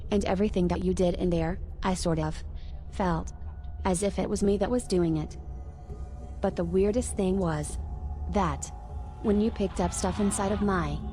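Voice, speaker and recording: A woman playing an emotional, apologetic android companion in a video game, speaking in a halting, casual, confiding way. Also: medium pitch, warm tone, lightly acted. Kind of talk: scripted video game dialogue. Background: music.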